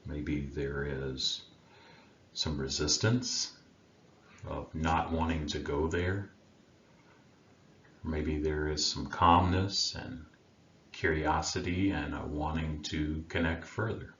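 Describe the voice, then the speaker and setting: A man leading a guided meditation as a coach, speaking in a gentle, soothing manner with long unhurried pauses. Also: a bass voice, fairly even intonation. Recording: roomy, dull sound with faint background noise.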